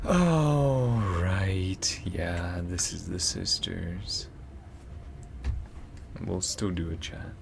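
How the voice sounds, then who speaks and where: A man giving quiet, casual reaction commentary as the host of a gaming video, in a low voice. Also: very slow, vocal fry, noisy background.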